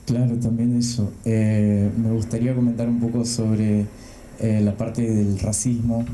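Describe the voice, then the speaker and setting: A man speaking on a panel discussion in a measured, thoughtful way. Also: lowish voice, level tone, dark tone. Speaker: panelist, researcher. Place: event hall. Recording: roomy hall, faint noise.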